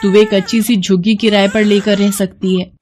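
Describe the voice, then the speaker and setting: A woman's voice: mid-range voice, little pitch movement, normal speed, darkish tone, slight background noise, lightly acted. A woman playing a greedy, scornful street beggar in a moral story, brash and insistent. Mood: insistent, tempting. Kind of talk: dramatised moral story acting.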